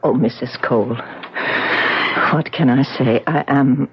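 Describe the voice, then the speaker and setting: An actress in a 1970s radio drama playing a grateful ballet star, formal and theatrical. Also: female voice, rumbling low, unhurried, slightly rough, full character voice. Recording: dull, faintly noisy 1970s radio recording.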